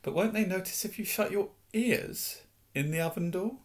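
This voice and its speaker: A man's voice: sits high, moderate pace, voice pushed up, close to an everyday voice. A man voicing a nervous, polite boy wizard who hesitates and often whispers. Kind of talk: audiobook character voice.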